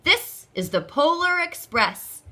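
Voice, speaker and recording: A woman's voice: extremely high pitch, big pitch swings, slow pace, pushed and bright, pitched above the performer's own voice, heavily acted. A woman voicing a kindly male train conductor in a children's storybook, speaking in an emphatic, proclaiming way. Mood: proud, announcing. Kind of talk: children's storybook read-aloud.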